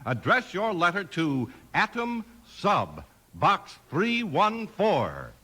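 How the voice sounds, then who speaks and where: A man with an American accent, an enthusiastic, emphatic 1950s radio announcer with a husky edge. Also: sits high, huge pitch swings, slow, pushed and bright. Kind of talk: 1950s radio advertising and narration.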